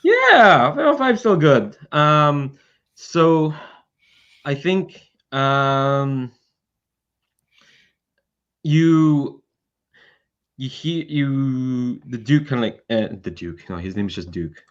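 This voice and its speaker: A man's voice: medium pitch, animated, drawn-out words, pauses often, fairly bright tone, highs cut off. A man running a tabletop roleplaying game as game master in casual, conversational narration.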